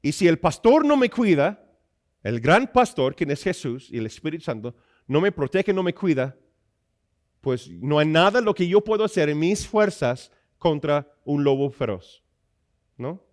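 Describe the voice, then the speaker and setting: A man preaches in a fairly high voice with an American accent, as a native speaker of English. He explains step by step like a teacher and often checks in with his listeners through short tag questions. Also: very animated, measured pace. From Iowa, United States. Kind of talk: sermon.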